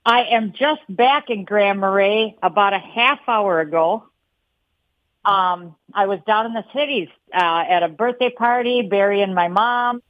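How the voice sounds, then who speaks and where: A woman's voice: mid-range voice, a little slow, dry recording. A woman calling a radio show, plain-spoken and wry. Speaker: caller. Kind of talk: phone call to a radio show. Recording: muffled phone line.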